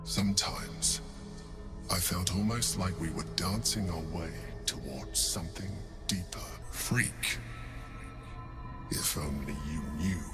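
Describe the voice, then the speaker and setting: A courteous, calculating mind flayer from a fantasy game. His fairly low voice is formal, measured and quietly persuasive, with clear music underneath. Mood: intimate longing. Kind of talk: dramatic video game dialogue.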